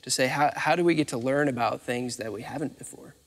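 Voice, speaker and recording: A man's voice: mid-range voice, brisk. Man explaining in a reverberant hall. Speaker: interviewee, theatre facilities manager. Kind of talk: informal interview explanation. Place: large dark theatre stage, indoors.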